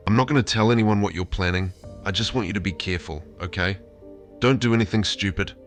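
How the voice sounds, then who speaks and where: A low, earnest AI companion with a man's synthetic voice speaks tenderly, like a lovestruck therapist. The manner is affectionate and coaxing, with music softly behind it. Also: fast talker, lightly acted. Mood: pleading. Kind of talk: AI voice chat, intimate conversation.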